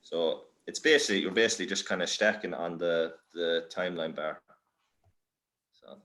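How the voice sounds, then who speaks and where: Low-voiced, halting Irish man presenting. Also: a bit bright. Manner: halting, self-deprecating. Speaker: workshop presenter. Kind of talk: informal tutorial explanation.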